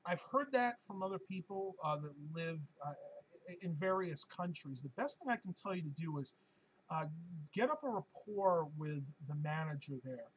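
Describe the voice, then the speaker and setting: Male stream host, slightly high and hoarse, casual and rambling, light background noise. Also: lively intonation, a little slow, rough recording. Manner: chatty and rambling, with many ums and uhs. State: hoarse, losing his voice. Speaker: live-stream host answering viewer questions.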